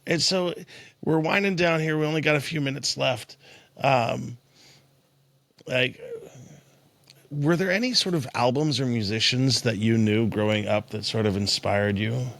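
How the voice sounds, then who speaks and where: Gravelly bright-voiced male host. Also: medium pitch, unhurried, no echo. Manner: friendly, easygoing, many fillers and agreements. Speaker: podcast host. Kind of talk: casual interview.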